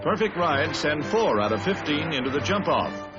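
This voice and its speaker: A dull vintage newsreel soundtrack carries an American man's narration over prominent music. His voice sits fairly high, and he delivers each scripted line with grand, dramatic emphasis in true 1950s style. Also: very animated, moderate pace. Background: orchestral newsreel music.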